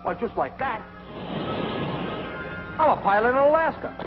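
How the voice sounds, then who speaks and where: An actor playing a cocky, wisecracking, mischief-making bungling pilot in a 1940s comic training film, boastful and slangy. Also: male voice, extremely high pitch, animated, normal speed, gravelly, exaggerated voice. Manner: boastful, slangy wise guy. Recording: muffled 1940s film soundtrack with music.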